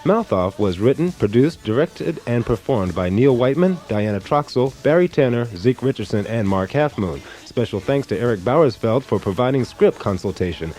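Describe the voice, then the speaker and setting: Mellow guy announcer doing radio credits, quiet music behind. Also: mid-range voice, very animated, moderate pace, hardly any pauses. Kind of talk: scripted announcement.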